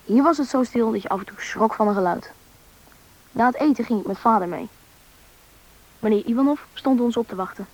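Casting: actress as wide-eyed young boy for radio drama, inquisitive, polite, slightly high, husky edge. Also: a little fast, long pauses, darkish tone, natural voice, poor audio. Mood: reflective narrating. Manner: inquisitive, wondering. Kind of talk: Dutch radio play acting and narration.

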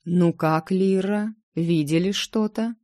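A woman's voice: lowish voice, takes it slowly, mellow, no echo, clean studio sound. A woman reading a storybook, voicing a formal, businesslike male master of magic at an academy. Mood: inquiring.

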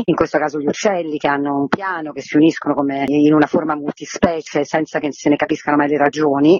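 Guy talking theatre-guest style on radio, kinda high voice, rambly thoughtful, dry lo-fi audio. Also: breathy edge, no room sound. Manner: discursive, reflective. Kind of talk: radio interview talk. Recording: slightly dull, lo-fi radio sound.

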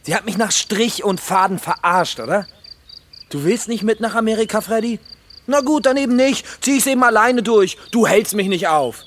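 A man's voice: high voice, animated, normal speed, a bit bright, slight background noise. A man playing a bitter, crude, jilted young bridegroom in a German radio drama, aggressive and mocking. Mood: bitter anger.

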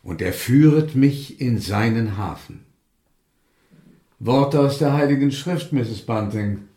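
An actor playing a courteous, pious gentleman lodger in a German radio drama, speaking formally and solemnly. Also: mid-range voice, lively intonation, takes it slowly. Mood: solemn, reverent. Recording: roomy, echoing interior sound.

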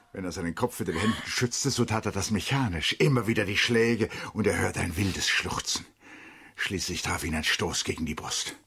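A man narrating a literary radio play dramatically, in measured short phrases with expressive storytelling. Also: on the low side, very noisy. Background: prominent music.

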